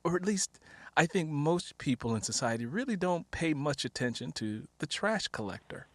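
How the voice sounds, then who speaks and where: A man hosting a conversational author interview, talking in an animated way. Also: a little high, moderate pace, no echo. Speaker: interview show host and novelist.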